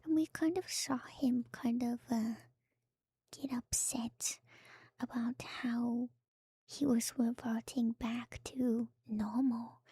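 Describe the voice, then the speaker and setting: A woman streamer whispering softly and breathily in casual ASMR commentary, musing and trailing off between phrases. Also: on the high side, breathy edge, no room sound. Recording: music playing behind the voice. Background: music.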